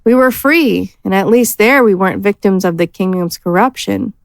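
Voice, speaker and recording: A woman's voice: medium pitch, normal speed, creaky, no echo, close to an everyday voice. Woman playing a defiant ex-bandit, dry sound. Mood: frank, defensive. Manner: candid, unashamed, defensive. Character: frank, defiant female former bandit. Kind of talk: fantasy audio drama acting.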